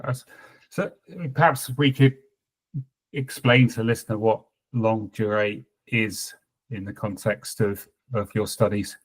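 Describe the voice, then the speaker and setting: Male podcast host, lowish voice. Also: level tone, unhurried, rough recording. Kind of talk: scripted intro then interview questions.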